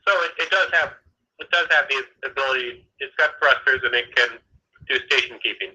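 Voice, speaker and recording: Man, satellite staffer on a call, halting explainer, very bright tone, tinny phone line. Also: medium pitch, slightly rough. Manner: halting, many restarts, explanatory. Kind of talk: phone conversation.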